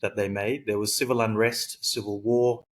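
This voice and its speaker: Middle-aged male coach with a lowish voice, reflective interview guest. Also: flat delivery, moderate pace. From Sri Lanka, raised in Australia. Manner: thoughtful, frequent fillers and self-corrections. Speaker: guest, high-performance coach.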